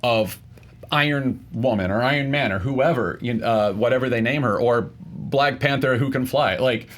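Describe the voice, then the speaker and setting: A man debating casually as a contestant, argumentative and often restarting his points in a halting way. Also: medium pitch.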